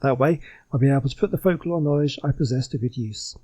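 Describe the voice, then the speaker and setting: A man's voice: mid-range voice, quick pace, dark tone, breathy edge, close to an everyday voice. A man voicing an earnest, polite reformed swindler from a video game, reading the dialogue in a wordy, scholarly way.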